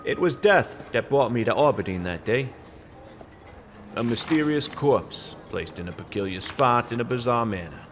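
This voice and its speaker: A man's voice: medium pitch, lively intonation, moderate pace, faint music behind, lightly acted. Man playing a brooding, wry goblin noir detective. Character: world-weary goblin male noir detective, semi-retired. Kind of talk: hardboiled radio play narration and dialogue.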